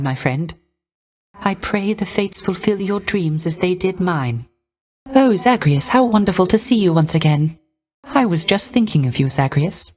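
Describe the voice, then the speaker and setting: A male actor voicing a gentle, melancholy court musician in a video game, with soft, genteel manners and formal, archaic, theatrical phrasing. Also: sits high, close to an everyday voice. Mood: tender devotion, then warm gratitude. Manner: soft, genteel, wistful, ornately polite.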